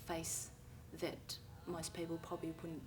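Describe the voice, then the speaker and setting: A woman's voice: low voice, completely flat delivery, measured pace. A woman who performed with a community group, speaking upbeat with fillers. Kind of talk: casual interview. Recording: distant from mic, music behind.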